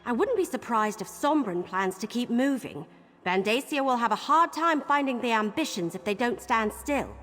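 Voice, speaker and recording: A woman's voice: fairly high pitch, animated, moderate pace, a bit bright. An actress playing a plucky young female scout with quirky exclamations in a video game. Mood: earnest. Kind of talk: video game quest dialogue. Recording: long reverb, music underneath.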